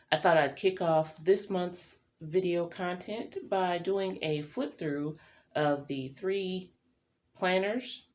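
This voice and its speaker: A woman with a very deep voice and a gravelly edge talks at an unhurried pace. She sounds like a vlogger chatting off the cuff, informal and given to rambling asides. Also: darkish tone. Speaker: vlogger and self-employed writer. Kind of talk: casual chatty vlog monologue.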